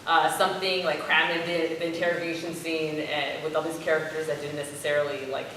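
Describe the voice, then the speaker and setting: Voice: medium pitch, flat delivery, a little fast. An artist and co-writer explaining things conversationally in an informal post-show discussion. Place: large echoey indoor arts venue. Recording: very echoey hall, distant mic, noisy.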